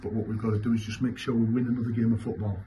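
A man, answering as a football manager after a match in halting, repetitive, emphatic phrases. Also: on the low side, flat delivery, warm tone. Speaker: football manager giving a post-match interview. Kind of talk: post-match interview answers. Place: small indoor club press room. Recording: clearly noisy room ambience.

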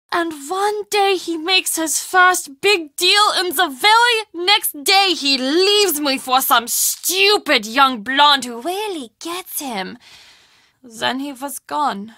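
Bright, dramatic castle woman. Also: sits high, dry recording, studio quality, full character voice. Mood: bitter, menacing. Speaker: voice actor in a mystery adventure game. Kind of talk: scripted video game character dialogue.

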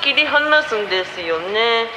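An actress in a radio drama playing a naive, self-pleased, childlike trainee with cute drawn-out phrasing. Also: female voice, fairly high pitch, lively intonation, reverberant, background noise. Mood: cheerful, bashfully proud. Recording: loud vehicle-like ambience.

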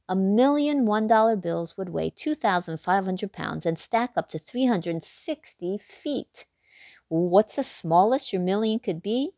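A woman hosting a read-aloud, reading a children's picture book expressively, with big excited leaps in pitch. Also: no room sound, studio quality.

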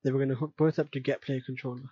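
A man giving an unscripted software tutorial in a casual way, thinking aloud step by step as he works. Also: medium pitch, level tone, racing, slightly rough, no room sound, highs cut off. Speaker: tutorial presenter. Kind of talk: unscripted screen-recorded software tutorial narration.

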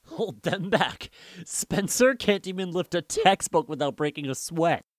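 A man voicing a smirking, crude high school troublemaker in an audiobook, blunt and scoffing. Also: expressive, unhurried, no echo, studio quality. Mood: scornful. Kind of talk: audiobook character acting.

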